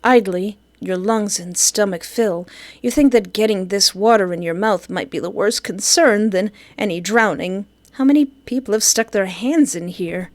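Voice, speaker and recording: A woman's voice: mid-range voice, normal speed, no echo. A woman reading a visual novel aloud and breaking in with sarcastic jokes and squeals of mock panic. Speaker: let's play gamer.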